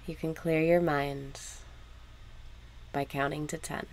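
A woman leading a scripted guided meditation in a measured, instructional way with long pauses. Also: low voice, little pitch movement, darkish tone, a little hiss or hum.

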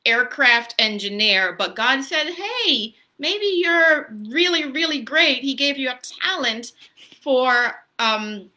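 Bright-voiced woman hosting children's story time. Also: high voice, lively intonation, slow pace. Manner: simple explanations with frequent questions to children. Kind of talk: casual, child-directed teaching chat. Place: small room at home. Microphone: laptop or phone at arm's length.

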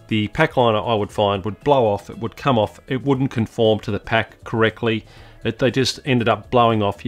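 A middle-aged Australian man hosting a hiking vlog, talking casually to camera from his home study and correcting himself as he chats. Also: lowish voice. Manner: chatty, self-correcting, relaxed. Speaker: hiking channel host. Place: home study lined with bookshelves.

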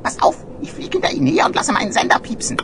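An actor voicing a cheeky, talkative little bird in a children's audio play, squeaky and breaking into chirps. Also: fast, close to an everyday voice. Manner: chatty, breaks into chirps mid-sentence. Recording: noisy old vinyl audio play.

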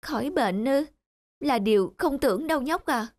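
A woman's voice: high-pitched, animated, moderate pace, a little mellow, dry recording. An actress playing a despondent, seriously ill young woman in a cartoon drama, with a plaintive, melancholy manner. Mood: resigned, sad. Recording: music behind the voice.

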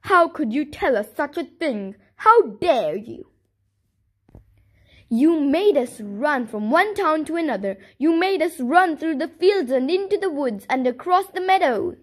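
A woman's voice: sits high, big pitch swings, measured pace. A woman voicing frightened, angry townsfolk. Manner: exclaiming, scolding, repetitive. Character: crowd of alarmed, then angry townspeople.

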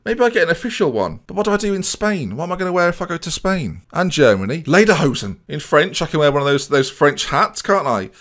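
A young Englishman commentates on a football management game in a chatty, joking way, his slightly high voice rising and falling a lot. He talks into a headset mic in a small room at home. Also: fast talker, few pauses. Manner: chatty, jokey, excitable commentary. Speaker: gaming streamer.

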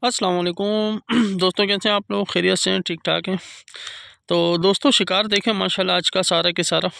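A man's voice: high voice, fairly even intonation, fairly quick, gravelly, pushed and bright, dry recording, studio quality. A man with a Karachi Urdu accent chatting casually to viewers while filming a night fishing trip on the beach, talking into a handheld phone. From Pakistan. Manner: chatty, keeps addressing friends, frequent pious interjections. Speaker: fishing vlogger. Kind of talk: casual outdoor vlog narration. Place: night beach campsite by a fire, outdoors.

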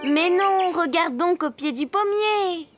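Cheerful little cartoon donkey girl, very high voice, slow pace, playful teasing, music behind. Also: expressive, fairly bright tone, full character voice. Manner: playful, coaxing. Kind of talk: children's cartoon voice acting.

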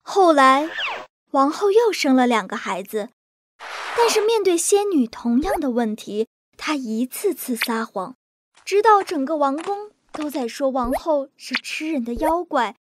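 A woman narrating bedtime fairy tales for young children in a scripted, expressive way. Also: high voice, measured pace, slight background noise. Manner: lively, expressive. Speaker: storyteller.

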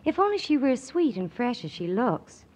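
A woman's voice: fairly high pitch, big pitch swings, moderate pace, darkish tone. An actress playing a perky, confiding young woman in a 1940s radio commercial. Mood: confiding concern.

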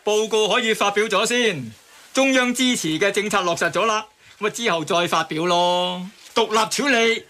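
A man's voice: sits high, moderate pace, sharp tone. A man playing a skeptical, blunt character who makes pointed rhetorical jabs in a radio drama. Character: skeptical, needling taxpayer with blunt logic.

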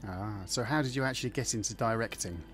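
A man hosting a radio interview, asking brisk, light-hearted questions. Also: medium pitch. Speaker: radio host. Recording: music bed under the voice. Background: music.

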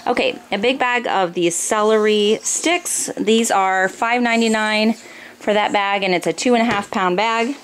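A woman's voice: medium pitch, normal speed, a bit bright. An American woman in her thirties chatting informally as she explains household items one by one for a casual home vlog. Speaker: family vlogger, mother. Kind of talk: casual grocery haul narration. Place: indoors in a home kitchen or dining room. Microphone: handheld camera close by. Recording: noisy home recording with room ambience.

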